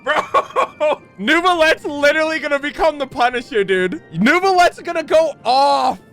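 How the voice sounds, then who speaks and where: A man hosting a game reaction video, casual and excitable, with slangy, exclamatory commentary. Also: extremely high pitch, moderate pace, creaky, soft background music.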